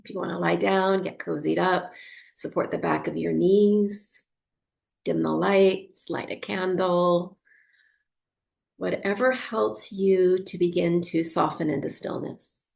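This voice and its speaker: A woman teaching breathwork and guiding a meditation for sleep, speaking softly in a soothing, unhurried way with long pauses. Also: lowish voice, steady tone, gravelly.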